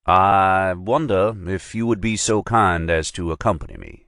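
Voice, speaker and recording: A man voicing a suave, unflappable federal agent who talks in a creamy, dry, measured drawl. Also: deep voice, fairly bright tone, dry recording.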